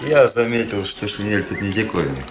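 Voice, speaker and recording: An actor playing a cool, ironic young Russian officer who narrates his memoirs in a measured, detached way in a radio drama. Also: fairly low pitch, animated, natural voice. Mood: ironic, teasing. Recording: radio play with prominent music behind. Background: music.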